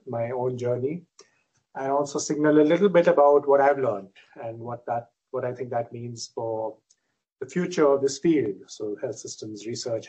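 Middle-aged male professor from India, husky mid-pitched voice, easygoing lecture delivery. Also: low-quality recording. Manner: relaxed, self-deprecating, many fillers. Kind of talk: academic lecture.

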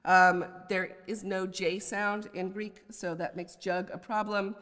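A woman's voice: mid-range voice, little pitch movement, measured pace, fairly bright tone. American woman lecturing in a reverberant hall. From United States. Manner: scholarly, conversational asides. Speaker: poetry professor giving a lecture. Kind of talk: academic lecture. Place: large indoor lecture hall.

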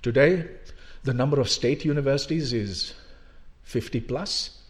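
A man's voice: mid-range voice, a little slow. An older man, a longtime professor from Pakistan, giving an emphatic public lecture full of rhetorical questions. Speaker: university professor.

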